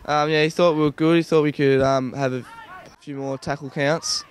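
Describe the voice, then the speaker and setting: A young man with an Australian accent, an athlete giving plain, halting answers in a casual interview. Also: on the high side, fairly even intonation, slight background noise. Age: young adult. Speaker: junior Australian rules footballer.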